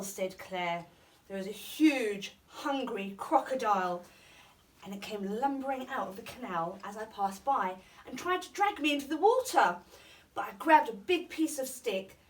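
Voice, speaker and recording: A woman with a fairly high voice reads a children's bedtime story aloud. She is expressive, like a primary school storyteller, and sounds some way from the microphone. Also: far from the mic.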